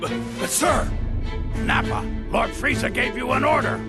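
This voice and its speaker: A man voicing a belligerent, loyal alien henchman in a video game, gruff and hotheaded. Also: very high-pitched, a little slow. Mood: alarmed, indignant. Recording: loud music behind. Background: loud game music.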